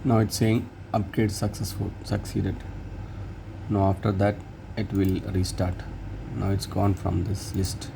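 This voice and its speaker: A man talking through a software update step by step, hesitant and halting, often restarting words. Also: deep voice, little pitch movement, creaky. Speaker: tech tutorial presenter. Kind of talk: screen-recorded how-to walkthrough. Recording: noisy room, hum and traffic behind.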